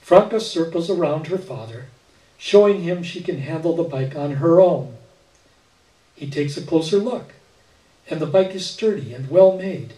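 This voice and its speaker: An older man narrates literary fiction at a live reading. His voice is mellow and a little high for a man, with measured expression. It comes through a stand mic into an echoey room. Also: expressive. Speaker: reader at a literary event. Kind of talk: literary short story read aloud. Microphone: stand mic with speakers, recorded by far camera. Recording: echoey room, distant camera pickup.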